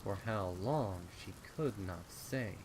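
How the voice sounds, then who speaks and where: A man narrating a dark horror story in a dramatic, ominous style with deliberate phrasing. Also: sits low, animated, noisy background. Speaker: fan fiction narrator. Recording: rain and storm ambience behind. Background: rain.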